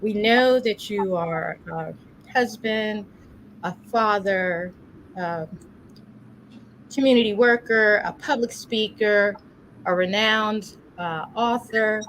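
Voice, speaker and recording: A woman hosts an informal community religious program. Her voice sits at a medium pitch, and she is courteous and encouraging. A faint steady noise runs behind her. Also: takes it slowly, rough recording. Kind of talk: informal hosting and interviewing.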